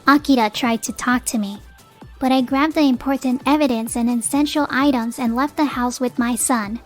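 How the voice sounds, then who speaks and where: Lady voice acting determined young mom telling her divorce story, earnest manga dub narration, soft music behind. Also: sits high, fairly even intonation, normal speed, close to an everyday voice. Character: wronged young mother, resolute wife divorcing her cheating husband. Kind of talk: scripted first-person narration, manga dub.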